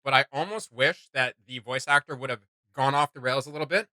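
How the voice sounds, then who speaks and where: A man's voice: mid-range voice, brisk, sharp tone, dry recording. A man hosting a reaction video, giving casual, emphatic and opinionated commentary.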